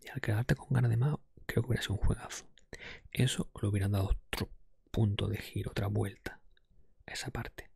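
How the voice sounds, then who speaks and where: Male, ASMR host, soft breathy whispering, creaky fry, very dry close-mic sound, conversational, unhurried. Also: lowish voice, steady tone, no room sound. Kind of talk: ASMR, improvised ranking of video games.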